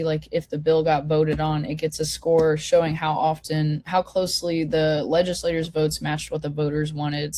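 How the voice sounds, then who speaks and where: Low-voiced woman hosting a video call, hoarse from a cold. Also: a little mellow, no room sound. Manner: informal, lots of fillers and restarts. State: hoarse, getting over a cold. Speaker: forum host. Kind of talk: casual online meeting walkthrough.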